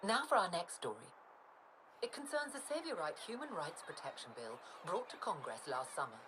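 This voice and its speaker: A woman's voice: fairly low pitch, very animated, moderate pace, background noise. A woman playing a formal, composed radio newsreader in a science-fiction video game, delivering a scripted bulletin.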